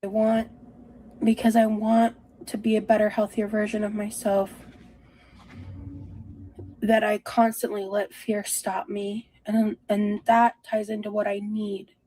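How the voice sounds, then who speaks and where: A woman vlogger talking confessionally about herself in a halting, introspective way. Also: mid-range voice, level tone, warm tone, rough recording. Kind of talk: confessional personal talk.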